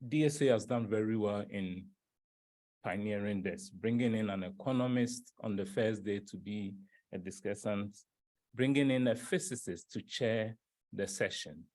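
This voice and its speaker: A man from Ghana with a fairly low voice closes a conference session. He speaks emphatically, repeating words as he builds toward his point and draws out a proverb about trees standing together. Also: normal speed, a little mellow. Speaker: session chair. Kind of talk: closing a plenary session. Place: conference hall plenary.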